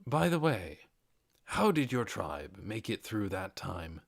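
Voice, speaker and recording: A man voicing a blunt, pragmatic male lizardman warrior in a fantasy audiobook, with a dark tone and firm, deliberate delivery. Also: lowish voice, big pitch swings, creaky, no room sound, studio quality, exaggerated voice. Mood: probing, urgent. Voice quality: dark-toned.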